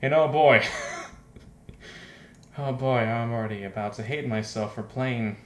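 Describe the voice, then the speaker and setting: Slow-paced man streaming games in a roomy space. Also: medium pitch. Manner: self-deprecating, laughs often, exasperated. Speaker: game streamer, host. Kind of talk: casual let's play commentary. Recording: roomy echo, faint music behind.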